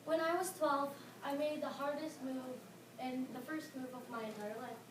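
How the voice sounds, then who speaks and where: Filipino-accented teen girl, high voice, earnest prepared speech with fillers, in an echoey, noisy room some way from the mic. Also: fairly even intonation, far from the mic. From Philippines. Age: teens. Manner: earnest, halting with fillers, stressing key words. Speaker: high school student speaker.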